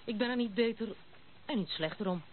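A woman's voice: on the high side, lightly acted. Actress as a headstrong young noblewoman, tinny old broadcast. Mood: proud, frank. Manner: brisk, self-assured, outspoken. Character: headstrong, unconventional young noblewoman, blunt and proud. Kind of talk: Dutch radio play acting. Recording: muffled narrow-band old radio recording, noisy.

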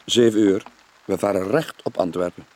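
An actor playing a weary, guilt-ridden middle-aged sailor with a Flemish accent, in a brooding radio drama style with a husky, breathy voice. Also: male voice, low voice, steady tone, mellow. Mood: uneasy, reluctant. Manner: brooding, halting confession. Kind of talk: 1980s Dutch-language radio drama acting.

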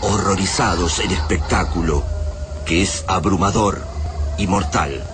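An actor narrating an apocalyptic radio drama gravely and suspensefully. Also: male voice, low voice, measured pace, over music. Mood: grave, tense. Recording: clearly noisy, sound-effect ambience.

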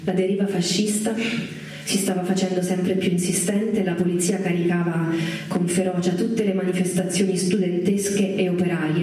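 A woman playing a Latin American revolutionary militant, reading a memoir dramatically in measured, deliberate phrases. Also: on the low side, dark tone, natural voice. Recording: very echoey, distant voice under music.

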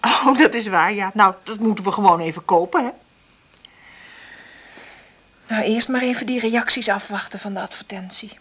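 An actress playing an insecure, tender single mother in a radio play, hesitant and thinking aloud in a breathy voice. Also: medium pitch, brisk, a bit bright. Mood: tender, evasive. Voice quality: breathy, often half-whispered. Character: insecure, struggling divorced mother, tender and nervous. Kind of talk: naturalistic radio play acting with intimate monologue.

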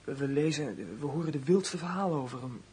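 An actor in a radio drama playing a prisoner's grown son, hesitant and embittered, halting and repeating his words with awkward politeness. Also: a little high, animated, a little mellow, a little hiss or hum, lightly acted. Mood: troubled, searching.

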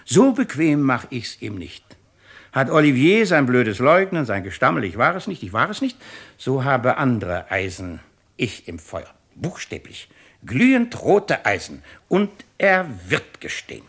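A man playing a stern, sardonic royal judge in a 1950s German radio drama, speaking with pompous, ironic, forceful declamation. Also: medium pitch, big pitch swings, a little slow, husky, full character voice. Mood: menacing.

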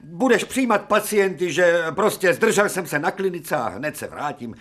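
Quick-tempered commanding male professor, slightly high and very animated delivery, radio play. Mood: brisk. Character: irascible authoritative male professor, quick-tempered yet courteous. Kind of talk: radio drama acting.

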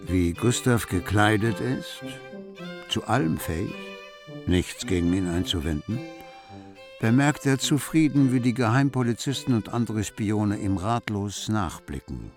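An actor playing a wry, measured storyteller, narrating a literary radio drama with deliberate phrasing. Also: male voice, fairly low pitch, lively intonation. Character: wry, measured male storyteller of a city night tale. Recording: prominent music behind the voice.

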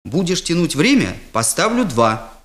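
A man's voice: a little high, big pitch swings, measured pace, fairly bright tone. Man playing a strict literature teacher, firm tone, short instructions, strained patience, comic audio story. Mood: exasperated. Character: strict male literature teacher, patient but increasingly exasperated.

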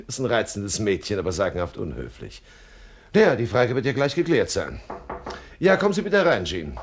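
An actor playing a suave, wordy swindler boss with mock-courteous manners in a radio crime comedy. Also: male voice, on the low side, wildly swooping pitch, moderate pace, creaky, pauses often, slight background noise, exaggerated voice. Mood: affable bluffing. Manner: verbose, mock-courteous, hesitant filler words. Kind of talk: 1970s German radio crime comedy acting.

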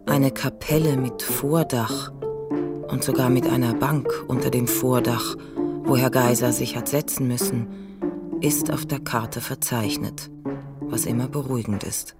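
A woman's voice: extremely low pitch, steady tone, measured pace. A woman narrating a literary radio play. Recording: distant voice under loud music. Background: loud music.

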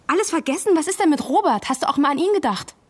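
An actress playing a hurt, exasperated wife in a radio drama, pressing for answers in an accusing, emotional, insistent way. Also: female voice, sits high, animated, fast. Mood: hurt, pleading. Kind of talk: naturalistic radio drama acting.

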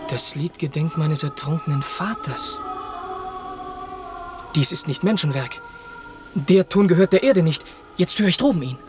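An actor playing a grieving, noble young prince in a classic German radio drama, speaking lofty verse in a husky, declamatory way. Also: male voice, fairly high pitch, lively intonation, unhurried, long pauses, music in the background. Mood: wondering, grieving.

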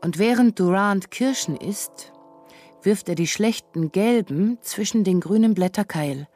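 A woman's voice: mid-range voice, unhurried, darkish tone. A woman narrating a literary radio drama in scripted, descriptive prose. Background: music.

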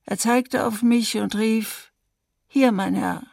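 A woman's voice: on the high side, steady tone, slow, creaky, darkish tone, no room sound, studio quality, exaggerated voice. A woman playing a massacre survivor giving grave, restrained testimony in a documentary radio drama. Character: woman survivor of a wartime massacre recounting her escape.

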